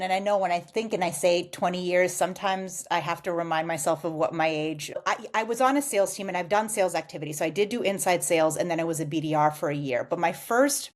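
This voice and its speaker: An American woman in her forties speaks in a calm, explanatory way, answering a host's questions. Her voice sits a little low for a woman. She lays out her points steadily, one step at a time. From United States. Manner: measured, explanatory. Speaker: guest, customer success executive. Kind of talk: podcast interview answers.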